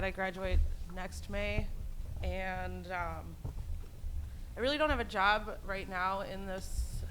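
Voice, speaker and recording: A woman's voice: medium pitch, background noise. A woman student introducing herself on a panel, casual and a little hesitant.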